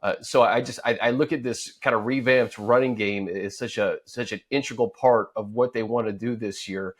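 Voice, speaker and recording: A man hosting a casual sports discussion, reflective and somewhat halting as he sets up his point. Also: mid-range voice, fairly even intonation, husky. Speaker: sports show host.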